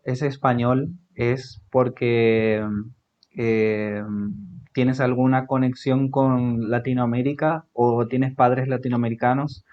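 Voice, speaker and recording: A man's voice: mid-range voice, a little mellow. A man with a Paraguayan accent hosting a casual podcast interview, talking with many fillers and tag questions. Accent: Paraguayan Spanish. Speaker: podcast host. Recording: dull lo-fi audio.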